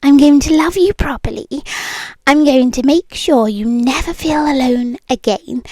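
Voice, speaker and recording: A woman with a British accent playing a possessive, obsessive ex-girlfriend in an ASMR roleplay, breathy and often dropping into whispers, intimate and sweetly menacing, close to the microphone. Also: high-pitched, mellow, no echo. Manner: slow, intimate, lingering delivery.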